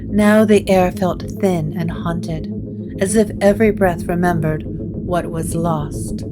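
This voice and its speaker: A woman narrates a gothic tale at an unhurried pace in a mid-range voice. Her delivery is scripted, measured and atmospheric, with plucked guitar music clearly behind her. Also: on the low side.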